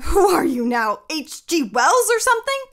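A high-pitched woman in a radio play, shaken and bewildered. She presses with urgent questions, stumbling into halting repetitions as she demands answers. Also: very animated, a bit bright, exaggerated voice. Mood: insistent, incredulous. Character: bewildered, insistent wife stranded in a strange world. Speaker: actress in an audio play. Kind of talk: audio drama acting.

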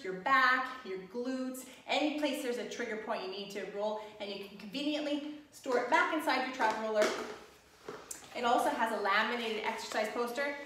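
Young female fitness instructor, breezy and encouraging, slightly high-pitched, camera mic set back in a reverberant home gym. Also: fairly bright tone, slight background noise. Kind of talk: instructional workout voice-over and product demo. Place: home gym room with bare walls. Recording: echoey room, voice from a distance.

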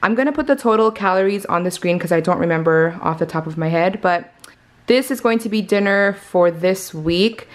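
A woman's voice: on the low side, normal speed. A woman chatting through her weekly meal prep, explaining each step to viewers as she cooks in her home kitchen. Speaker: cooking vlogger. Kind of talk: casual meal prep narration.

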